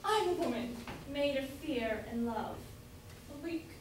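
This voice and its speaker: A young woman student playing a devoted gentlewoman in love in an amateur staged reading of a Jacobean comedy. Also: high voice, animated, measured pace. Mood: pleading. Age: young adult. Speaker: student actor. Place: college room, staged reading. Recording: echoey room from far off, faint noise.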